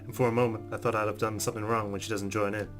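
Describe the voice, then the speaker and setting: Quick-talking male gamer reading aloud. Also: on the low side, little pitch movement, fairly bright tone. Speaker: let's play host. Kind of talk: reading game narration aloud with casual reactions. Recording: music behind the voice.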